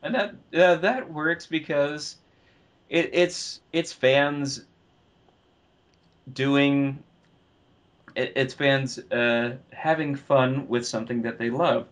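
A man speaks slowly as a co-host in a casual discussion. His mid-pitched delivery is halting, and he often pauses and repeats a phrase before carrying on. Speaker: podcast co-host. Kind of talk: casual film and game discussion.

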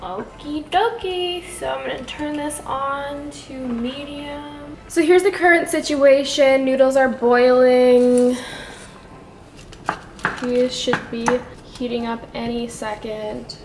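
A woman's voice: high-pitched, fairly even intonation, slow pace. A woman in her twenties chatting informally and casually as a vlogger while she cooks at home. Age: 20s. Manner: informal, chatty, talks affectionately to pets. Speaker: vlogger and host of a home cooking series. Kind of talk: casual vlog chat while cooking. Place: home kitchen, indoors. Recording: echoey room, music behind.

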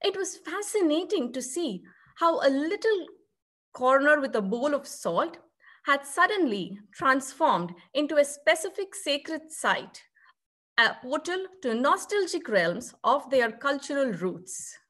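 A woman's voice: sits high, very animated, unhurried, a bit bright. A woman presenting scripted narration on a video call, with reflective, poetic phrasing. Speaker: theatre artist presenting at a conference.

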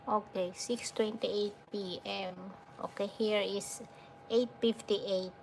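A Filipino woman in her thirties chatting slowly and softly with viewers during a phone live stream at night. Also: medium pitch, steady tone, gravelly, a little hiss or hum, low-quality recording. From Philippines. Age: 30s. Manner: slow, soft-spoken, thanks viewers often. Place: dim room at night, indoors. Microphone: phone held close.

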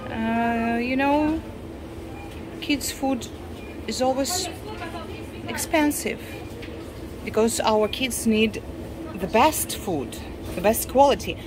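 Slow-talking Russian woman in a busy store. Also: a little high. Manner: unhurried, halting, frequent uh and restarts. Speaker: vlogger. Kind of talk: casual shopping vlog walk-through. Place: grocery store, indoors. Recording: clear store background noise.

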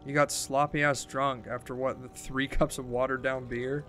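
A man's voice: medium pitch, level tone, normal speed, fairly bright tone, soft background music, lightly acted. A man voicing a bitter, exasperated young woman from a game, with a blunt, sarcastic, scolding manner.

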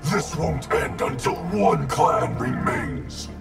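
A man voicing a cold, arrogant wraith ninja clan leader in a video game, gravelly, haughty and threatening. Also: medium pitch, slow pace. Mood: grim defiance. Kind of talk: video game character dialogue. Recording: music behind the voice.

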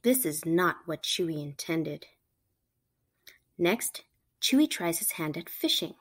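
A woman reading a picture book aloud to children, with animated, emphatic storytelling delivery. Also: on the low side. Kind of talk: expressive read-aloud narration for children.

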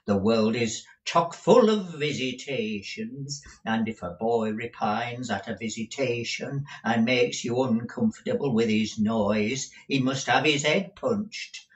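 A man's voice: mid-range voice, takes it slowly, few pauses, distant mic, muffled recording, close to an everyday voice. A man reading aloud and voicing a coarse, greedy middle-aged schoolmaster who drawls folksy, sanctimonious talk. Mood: self-satisfied.

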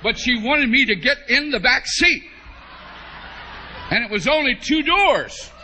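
A middle-aged American man preaching, telling a humorous story to a congregation in an animated way with drawn-out emphasis. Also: very high-pitched, a little hiss or hum. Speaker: preacher, bishop. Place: large church hall, at a pulpit before a congregation. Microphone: podium microphone on a stand.

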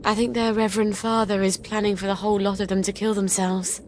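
A guarded young woman played by an actress in a video game, speaking at a middling pitch. She is plain-spoken, stoic and subdued, with sorrow held back. Faint ambience sits behind her. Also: level tone, fast, noisy background, natural voice. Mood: concerned. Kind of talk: video game cutscene acting.

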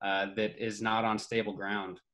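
A man's voice: on the low side, level tone, normal speed, bright, forceful tone. Conversational man hosting a video call. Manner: conversational, with repeated "you know". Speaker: host. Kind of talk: moderating a discussion on a video call.

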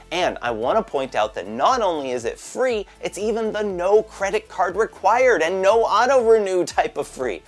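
Lively man presenting tech news. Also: fairly high pitch. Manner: lively, lightly joking delivery. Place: bright home office studio.

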